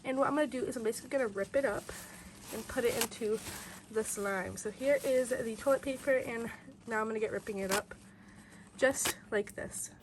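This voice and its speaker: A woman's voice: fairly high pitch, a little fast. A young woman with a head cold, hosting a casual slime and toy tutorial from her bedroom in a bubbly, upbeat way. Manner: bubbly, upbeat, repeats "you guys". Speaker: toy and slime channel host. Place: bedroom, indoors. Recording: loud air conditioner hum. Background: air conditioner noise.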